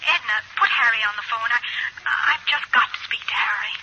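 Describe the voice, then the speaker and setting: A woman's voice: on the high side, brisk, pushed and bright. A woman in 1940s radio acting, playing an earnest, emotional suburban wife and mother. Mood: worried. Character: worried, warm-hearted suburban wife and mother. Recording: very noisy old radio recording.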